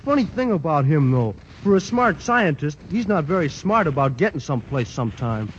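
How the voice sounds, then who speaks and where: A dim, lovestruck high school ballplayer, high-pitched drawl, 1940s radio, dull lo-fi sound. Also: male voice, very animated. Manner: slow, earnest, ungrammatical drawl. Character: lovestruck gangly teen basketball star, slow-witted. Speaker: student athlete. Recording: lo-fi old radio broadcast with faint hiss.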